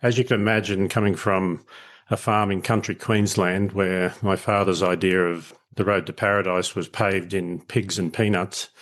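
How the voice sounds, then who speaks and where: A middle-aged man with an Australian accent talks over a call, his voice sitting fairly low. He explains things in long, thoughtful runs with small restarts. It is an easygoing interview guest's voice. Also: fairly even intonation, normal speed, fairly bright tone. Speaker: guest, leadership educator.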